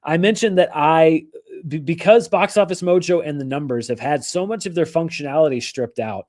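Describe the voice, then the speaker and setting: A hoarse man hosting a casual live-stream about movie news, rambling and correcting himself as he goes. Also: fairly high pitch, normal speed, a little mellow. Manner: rambling, self-correcting, chatty. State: hoarse from allergies.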